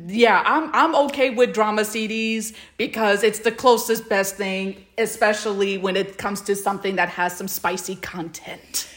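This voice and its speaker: A woman's voice: mid-range voice, fairly even intonation, normal speed, few pauses, a bit bright. A woman co-hosting a podcast in casual fan chat, often repeating words as she builds a thought. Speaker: podcast co-host.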